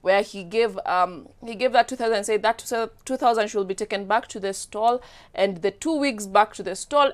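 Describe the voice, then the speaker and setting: Kenyan woman, young talk show co-host, chatty, stops and restarts, studio mic. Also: mid-range voice. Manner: chatty, hesitant restarts while storytelling. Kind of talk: casual studio chat. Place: bright TV studio set.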